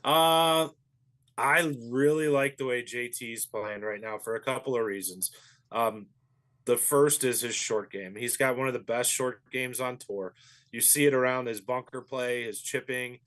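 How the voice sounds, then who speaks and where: A man's voice: medium pitch, unhurried, a bit bright. A man, a golf professional, giving easygoing and thoughtful analysis as an interview guest. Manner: easygoing and thoughtful, with frequent fillers.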